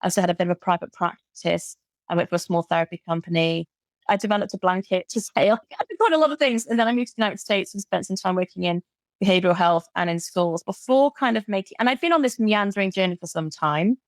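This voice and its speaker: A woman's voice: mid-range voice, fast talker, dry recording. A British woman guesting on an interview, talking about her coaching work with enthusiasm and often repeating words for emphasis. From Britain. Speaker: guest, burnout recovery coach. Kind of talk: casual interview chat.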